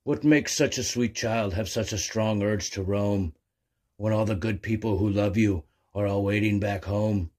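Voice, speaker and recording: A man playing a gentle, fatherly giant whale who speaks with kindly concern in a children's storybook. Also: lowish voice, steady tone, unhurried.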